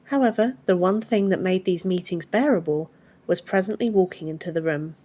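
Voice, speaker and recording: Low-voiced woman narrating a story. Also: a little fast, warm tone. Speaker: audiobook narrator.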